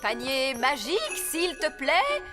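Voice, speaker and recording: Cartoon fairy nanny, high-pitched, animated, self-assured, theatrical, music underneath. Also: female voice, unhurried. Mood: breezy, confident. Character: bustling, overconfident fairy nanny who casts spells. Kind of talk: French cartoon dub acting.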